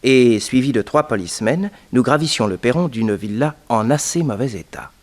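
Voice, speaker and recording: An actor playing an eager male detective's assistant who also narrates a 1960s French radio drama, brisk and lively. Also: mid-range voice, natural voice. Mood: narrating.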